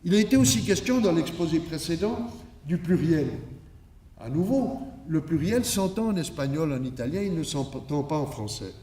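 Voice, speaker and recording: Echoey, higher-pitched male conference lecturer. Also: lively intonation, moderate pace, warm tone. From Switzerland. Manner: didactic, emphatic, with rhetorical asides. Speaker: academic keynote speaker. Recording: echoey room, distant microphone.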